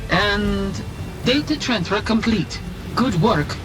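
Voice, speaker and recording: An actress playing a composed female military officer in a video game, giving briefings in a brisk, authoritative, businesslike manner. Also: mid-range voice, lively intonation. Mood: urgent, firm. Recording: game music behind the voice.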